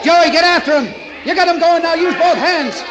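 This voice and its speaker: A man playing an excitable, gruff boxing manager barking urgent orders in a 1940s radio drama. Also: very high-pitched, normal speed, highs cut off. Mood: shouting, excited. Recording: crowd noise behind, vintage radio.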